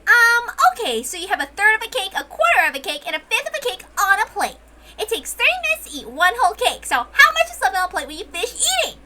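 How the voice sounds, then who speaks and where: A woman's voice: extremely high pitch, very animated, fast, sharp tone, voice pushed up, exaggerated voice. A woman voicing a playful, smug, childish witch girl in an amateur visual novel reading. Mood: cheery, playful. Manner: cheery, teasing, showy.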